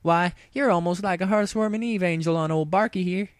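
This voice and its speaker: A man's voice: high-pitched, fairly quick, dry recording, pitched above the performer's own voice, natural voice. A man voicing a teasing, flirtatious female country pony with a folksy, playful Southern American drawl in a storybook reading.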